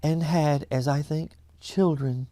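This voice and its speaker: Man playing a gentle, thoughtful ghost. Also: on the high side, slow pace, dry recording. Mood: calm, thoughtful. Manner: calm, thoughtful.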